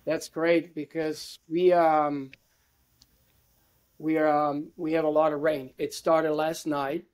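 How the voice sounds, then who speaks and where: A man's voice: a little high, level tone, slow, darkish tone. A man in his fifties from Italy with an Italian accent, answering conversationally as an academic interview guest on a video call. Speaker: interview guest, university lecturer. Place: indoor room with bookshelves, video call.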